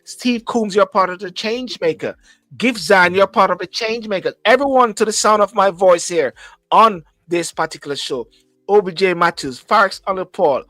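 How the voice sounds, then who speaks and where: A man's voice: high-pitched, moderate pace, bright, forceful tone, no room sound. A Jamaican man hosting a live call-in talk show, who speaks emphatically and repeats phrases for stress. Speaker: host.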